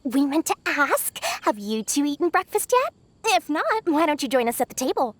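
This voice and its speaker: A woman's voice: high voice, very animated, normal speed. A woman voicing a small, shrewd, money-minded merchant in a video game, with a theatrical, wheedling manner. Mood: gracious, wheedling. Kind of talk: animated video game voice acting.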